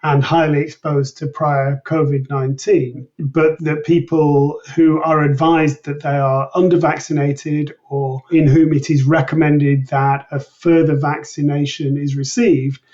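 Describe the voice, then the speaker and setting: A man with a slightly high voice speaks as an expert interview guest. He explains things carefully and precisely. The recording is muffled and sounds a little away from the mic. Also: darkish tone. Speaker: interview guest, medical researcher. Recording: distant, dull, lo-fi remote line.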